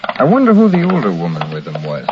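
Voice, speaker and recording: An actor playing a keen, methodical federal agent in a 1940s radio crime drama, brisk and businesslike. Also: male voice, low voice, wildly swooping pitch. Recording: muffled old radio recording, faint noise.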